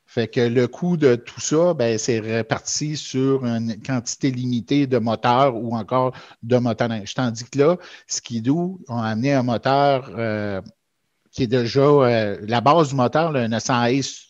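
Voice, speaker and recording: A man's voice: mid-range voice, unhurried. A middle-aged man with a Quebec French accent explaining things in casual, somewhat hesitant podcast talk over a headset microphone. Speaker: podcast co-host, product expert. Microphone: headset boom microphone. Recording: dull, lo-fi headset sound.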